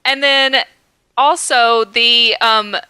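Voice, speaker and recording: A woman's voice: a little high, fairly even intonation, drawn-out words, pushed and bright, clear, resonant tone, no echo, studio quality. A young woman co-hosting a fan podcast, enthusiastic and hyperbolic in casual chat. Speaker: podcast co-host.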